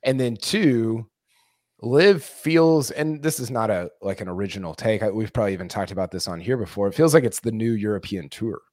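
Male co-host, low pitch, slightly hoarse, rambling chat, dry sound. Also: expressive, brisk, a little mellow, dry recording. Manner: rambling, many restarts. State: losing his voice. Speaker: podcast co-host. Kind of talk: casual sports podcast chat.

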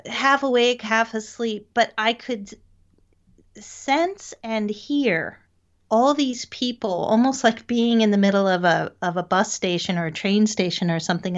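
A middle-aged woman, recounting experiences conversationally as an interview guest. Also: medium pitch. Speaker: interview guest, paranormal investigator. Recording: dull, band-limited lo-fi audio.

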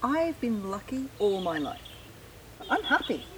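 Brisk teacher woman acting, proud and plain-spoken, a little away from the mic, naturalistic radio drama. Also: medium pitch, very animated, a bit bright, distant mic, a little hiss or hum, poor audio. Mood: earnest, formal.

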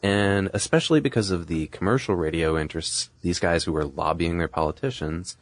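A man's voice: low voice, a little fast, no echo. A man co-hosting a podcast, explaining informally and piecing his points together as he goes. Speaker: podcast co-host. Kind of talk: conversational explainer talk.

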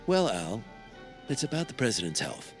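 Guy as a cowboy senator in an audio drama, grand and sure of himself, pitch all over the place. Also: sing-song, normal speed, faint music behind, natural voice. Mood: self-assured.

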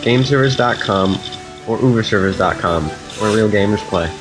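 A man's voice: lowish voice, fairly quick. A man reading out listener questions and names on a casual podcast. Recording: clear music behind the voice.

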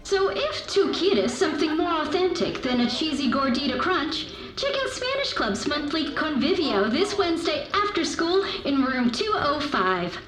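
A woman playing an upbeat high school student reading school announcements. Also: sing-song, moderate pace, few pauses, crowd noise. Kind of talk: peppy scripted school announcements. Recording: echoey school announcement sound.

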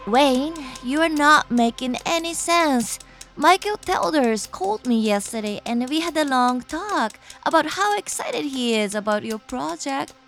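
Bewildered fiancée in audio drama, high voice, questioning, faint music behind. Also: female voice, expressive, measured pace, a bit bright, heavily acted. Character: confused fiancée woken by a phone call.

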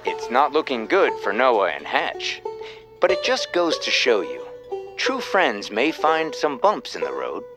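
Slow, folksy radio announcer. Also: male voice, medium pitch, wildly swooping pitch. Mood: wistful, folksy. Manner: measured, philosophical, theatrical. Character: folksy, wistful male old-time radio announcer. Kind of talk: old-time radio host monologue. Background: plucked string music.

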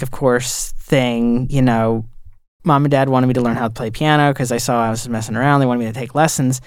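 A man with a fairly high voice talks through his memories in a loose, enthusiastic, rambling way. The sound is dry and close, with no room around it. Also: smooth, no room sound. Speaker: audio engineer. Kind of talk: casual podcast conversation.

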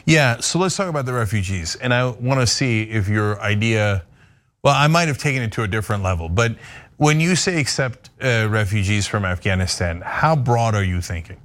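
A man's voice: lowish voice, expressive, moderate pace, fairly bright tone. A man from Turkey hosting a talk show, asking a guest direct, opinionated questions. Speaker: show host. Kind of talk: interviewing a guest.

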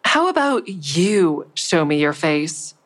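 A woman's voice: low voice, very animated, slow pace, no room sound, full character voice. A woman playing a wary, stubborn mother who narrates her risky investigation in the first person in an audio drama. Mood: defiant.

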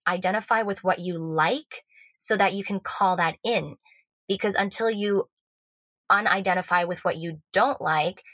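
A woman's voice: medium pitch, fairly bright tone, no echo. A woman from Switzerland with an American accent, a love coach guest talking earnestly in a casual podcast chat, full of fillers and restarts.

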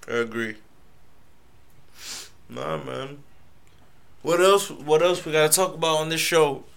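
A young man co-hosting a casual podcast chat in a laid-back, agreeable way, often trailing off into low mumbles. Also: unhurried, vocal fry, fairly bright tone. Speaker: podcast co-host.

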